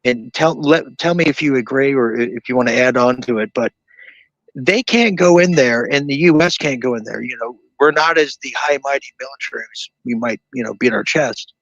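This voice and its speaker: Halting male talk-show host, dry sound. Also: mid-range voice, fairly bright tone, dry recording, dull audio. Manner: hesitant, restarts often, reads viewer comments. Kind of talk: casual late-night talk show conversation.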